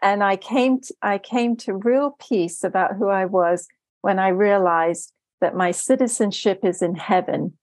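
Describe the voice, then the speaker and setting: A woman's voice: medium pitch, a little slow, no room sound. A middle-aged woman raised in Germany, a podcast guest who talks casually about her faith and laughs easily. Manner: warm, laughs often, speaks with faith. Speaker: podcast guest, life coach. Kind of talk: casual interview chat.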